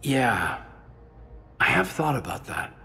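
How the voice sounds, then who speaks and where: An actor playing an earnest, soft-spoken male soldier who has just been made an elite agent. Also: medium pitch, very animated, slow, vocal fry, faint music behind, exaggerated voice. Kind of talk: video game voice acting.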